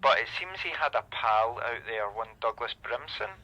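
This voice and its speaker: Sharp-toned male inspector on a muffled line. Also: mid-range voice, lively intonation, normal speed. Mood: hesitant, confiding. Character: hesitant, confiding male police inspector. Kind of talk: radio drama acting.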